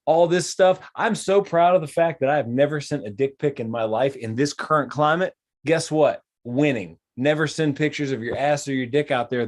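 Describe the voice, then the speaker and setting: A man in his forties co-hosting a podcast, rambling through crude jokes and stories with many fillers. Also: mid-range voice. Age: 40s. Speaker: podcast co-host. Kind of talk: casual profane banter.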